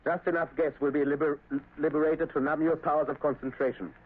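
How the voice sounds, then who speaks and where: An actor playing a scheming saboteur doctor, smooth and deliberate, in a vintage radio drama. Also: male voice, fairly high pitch, fairly even intonation, natural voice. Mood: gloating. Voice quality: smooth, deliberate villain delivery. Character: scheming male saboteur doctor, suave and menacing. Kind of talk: 1930s radio drama acting. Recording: tinny old radio recording, hiss.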